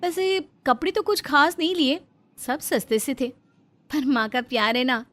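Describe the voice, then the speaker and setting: A woman's voice: high-pitched, expressive, normal speed, a bit bright. A woman voicing a pampered, sweet-talking young daughter-in-law in a melodramatic moral-story cartoon, coaxing and meekly placating. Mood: sweetly complaining.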